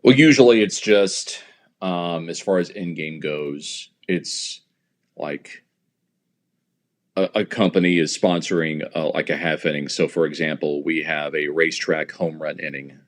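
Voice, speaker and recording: An American man in his thirties, a stadium announcer, talking casually as an interview guest and explaining things in a halting, conversational way. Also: rumbling low. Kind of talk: casual interview talk, anecdotal.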